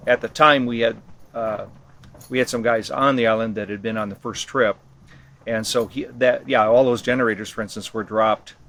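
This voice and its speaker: A man giving a casual interview account, halting and rambling as he corrects himself along the way. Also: mid-range voice, fairly even intonation, a bit bright. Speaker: interviewee, ham radio expedition team member. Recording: clearly noisy location sound.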